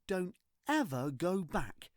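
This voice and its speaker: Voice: mid-range voice, big pitch swings, takes it slowly, no echo, studio quality, voice pushed up. A storyteller voicing a brave, bossy little girl in a children's storybook, giving emphatic, urgent instructions. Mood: firm, scolding. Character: brave, bossy little girl rescuing her dragon friend.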